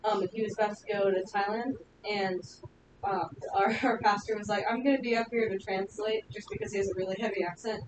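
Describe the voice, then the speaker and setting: A woman's voice: medium pitch, fairly even intonation, a little fast. A woman student casually telling an anecdote in class. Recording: echoey noisy room, far from mic.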